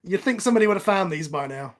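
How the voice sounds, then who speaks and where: A man's voice: high voice, expressive, rapid-fire. A man chatting casually in a film commentary, with a wry, nitpicking way of talking. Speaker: commentary track participant.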